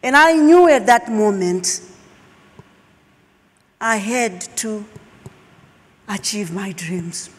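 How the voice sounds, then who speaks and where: A middle-aged woman speaks on a large auditorium stage through a headset mic, her voice trailing into a long hall reverb. She tells her story slowly and emphatically, with deliberate pauses. Her pitch sits in a plain middle range. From Zimbabwe. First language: Shona. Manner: slow, emphatic, dramatic pauses. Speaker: keynote speaker. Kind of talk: personal storytelling talk.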